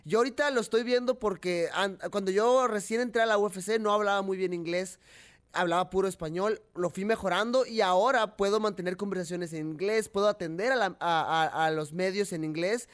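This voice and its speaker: A young man hosting a casual fight-sports talk show, animated and chatty, often restarting his sentences. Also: high voice, brisk. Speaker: host.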